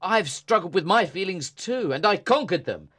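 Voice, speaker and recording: A stern young brother, bitter and unforgiving, played by a man. He speaks in a cold, accusing way, and his pitch rises and falls sharply. It is a slightly higher voice for a man. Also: animated, moderate pace, fairly bright tone, slightly rough, full character voice. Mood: bitter, angry. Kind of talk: audiobook drama reading.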